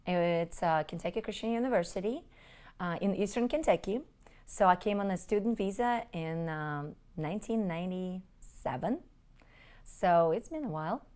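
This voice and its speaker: A woman in her forties from Crimea whose first language is Russian, answering interview questions earnestly with frequent pauses. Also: lowish voice, expressive, a little mellow. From Crimea, Ukraine. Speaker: interviewee, relief group leader. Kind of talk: conversational interview.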